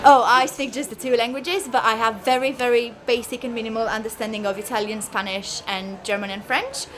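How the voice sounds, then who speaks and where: A woman's voice: fairly high pitch, steady tone, brisk. A woman whose first language is Romanian, chatting about languages. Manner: bubbly, talkative. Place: busy street, outdoors. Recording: crowd noise behind.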